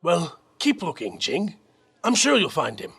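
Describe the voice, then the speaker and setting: A man playing a dutiful young army captain in an audio drama, earnest and measured. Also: on the high side, wildly swooping pitch, vocal fry, a bit bright, slightly rough, heavily acted. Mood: calm, gentle.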